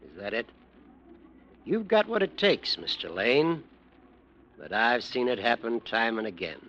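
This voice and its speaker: A man's voice: medium pitch, big pitch swings, unhurried, fairly bright tone. An actor playing a stern male judge who lectures and warns in a 1940s radio drama. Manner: lecturing, warning. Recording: dull old radio recording.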